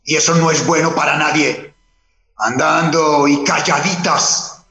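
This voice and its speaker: Un actor de radionovela con acento colombiano interpretando a un secuestrador armado, frío y burlón, que da órdenes y amenazas secas. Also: male voice, a little high, steady tone, unhurried. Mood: mocking threat. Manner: barking curt orders and threats. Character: menacing male armed kidnapper, cold and mocking. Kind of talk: radio drama acting. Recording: echoey, with loud music behind.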